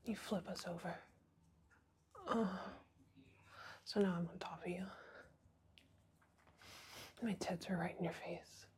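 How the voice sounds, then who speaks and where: A woman whispering an intimate roleplay monologue close to the mic, breathless and pleading, with soft moans between words. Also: fairly low pitch, steady tone, slow pace, long pauses. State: aroused and breathless. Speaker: audio roleplay performer.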